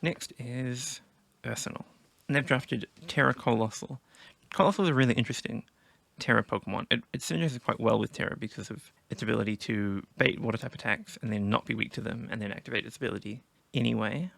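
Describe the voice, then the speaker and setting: A man going hoarse from a sore throat, giving casual commentary on his team draft and thinking aloud with many restarts. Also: mid-range voice, a little fast, a bit bright, no echo. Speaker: gaming video creator.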